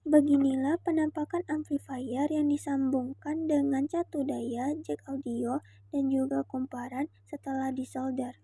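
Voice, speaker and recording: Young woman, student presenter; high, very dark-soft timbre, formal scripted explainer delivery, dry recording. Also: flat delivery, unhurried, no room sound. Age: young adult.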